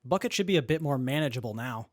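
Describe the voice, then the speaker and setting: A performer playing an eager boy tinkerer with a casual, excitable manner in an audio drama. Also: medium pitch, fast talker, close to an everyday voice. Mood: assured. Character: eager, nimble-tongued young male tech kid. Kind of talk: post-apocalyptic audio drama acting. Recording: noisy recording with clicks.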